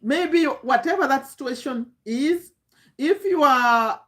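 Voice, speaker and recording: Higher-pitched Ugandan woman coaching informally. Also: slow, fairly bright tone. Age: 50s. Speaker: online business coach. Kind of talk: informal motivational talk to camera.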